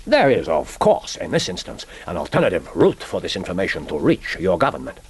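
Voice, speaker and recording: An actor playing a courteous, grave middle-aged Japanese intelligence chief with a formal, measured manner in a radio play. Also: male voice, deep voice, wildly swooping pitch, a little hiss or hum, heavily acted. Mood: grave, solemn. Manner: formal, measured, philosophical, prompting with "huh?". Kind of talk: radio drama acting.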